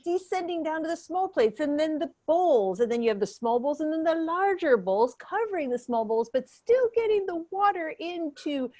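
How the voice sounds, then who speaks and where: A woman playing a condescending, pompous academic expert in an improvised mock debate, halting and emphatic. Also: high-pitched, sing-song, a little mellow.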